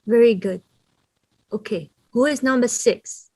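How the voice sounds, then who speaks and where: A woman reading the part of a stern, ailing mother quietly drilling her daughter. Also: on the high side, a little slow. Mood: coaching. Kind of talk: table read of a drama script.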